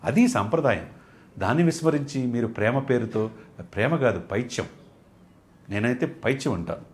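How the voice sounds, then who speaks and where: Fast, animated man giving impassioned commentary. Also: medium pitch. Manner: emphatic, rhetorical, repeats phrases in lists. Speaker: analyst and studio guest.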